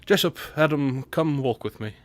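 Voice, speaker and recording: A man playing a mysterious, authoritative divine guide in a biblical radio drama, speaking in formal, measured pronouncements. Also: on the high side, faint music behind, natural voice. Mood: solemn authority.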